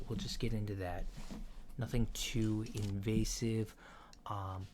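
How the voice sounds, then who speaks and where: A man playing a polite, curious alien doctor in a soft-spoken ASMR roleplay, talking in a halting, matter-of-fact way. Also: lowish voice, natural voice. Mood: calm, procedural. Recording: lo-fi with clear background noise.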